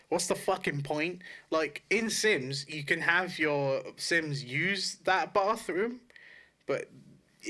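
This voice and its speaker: Male games podcast co-host, bright voice on the higher side, blunt, informal, jokey chat. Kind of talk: casual chat about video games.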